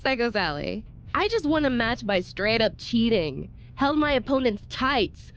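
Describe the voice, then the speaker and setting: A chatty young woman wrestler in a video game scene, with a highish, very animated voice. She sounds conversational and persuasive, like a friend with a scheme. The audio is a little dull. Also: measured pace, a little hiss or hum. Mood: mischievous. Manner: expressive, conversational. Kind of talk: casual game cutscene acting.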